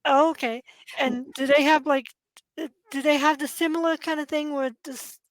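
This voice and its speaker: A woman interviewer asking questions in relaxed chat, reacting eagerly to her guest. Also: sits high, normal speed, poor audio. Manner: eager, responsive, lots of short reactions.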